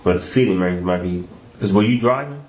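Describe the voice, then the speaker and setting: A man's voice: sits low, expressive, fast talker, a little mellow, slight background noise. An American man questioning someone as a police interrogator, in casual, familiar, colloquial speech. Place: indoor interview room. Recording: echoey interview room recording.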